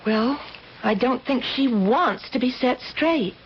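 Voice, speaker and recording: A woman's voice: high voice, takes it slowly. An actress playing a timid, honest young working woman in a radio drama, hesitant, earnest and defensive. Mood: uneasy. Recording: muffled, hissy old radio drama recording.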